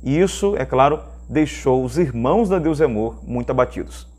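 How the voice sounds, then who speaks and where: Brazilian guy hosting a religious news channel, normal mid pitched voice, commentary style. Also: expressive, normal speed, darkish tone. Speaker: online channel host.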